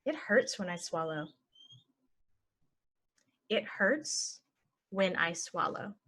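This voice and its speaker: A woman teaching English phrases, saying each one and then repeating it slowly and clearly for learners. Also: mid-range voice, animated, fairly bright tone. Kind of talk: slow phrase-by-phrase language lesson.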